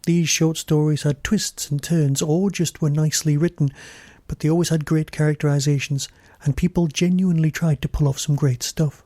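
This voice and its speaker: Mellow man hosting a review podcast. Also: a little high, steady tone, moderate pace, no echo, studio quality. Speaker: podcast host. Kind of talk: scripted review commentary.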